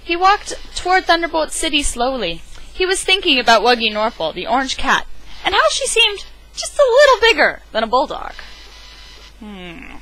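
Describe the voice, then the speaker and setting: A woman reads a children's picture book aloud in a dramatic, emphatic way. Her voice is on the high side and bright, with very animated intonation. She sounds like an enthusiastic amateur storyteller. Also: normal speed, rough recording. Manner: theatrical, emphatic delivery. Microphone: webcam microphone.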